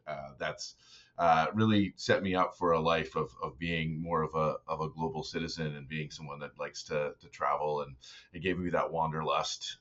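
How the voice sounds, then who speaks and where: Deep-voiced man from the prairies, easygoing and thoughtful, heard over muffled audio. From Saskatchewan, Canada. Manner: relaxed and reflective, with many fillers. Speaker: guest, startup investor. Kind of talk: casual interview conversation. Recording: dull, lo-fi remote audio.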